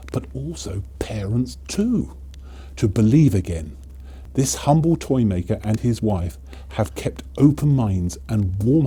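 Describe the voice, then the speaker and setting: A man's voice: fairly low pitch, dark tone, husky. A man voicing a confident young female elf in a storybook, giving an earnest, solemn speech.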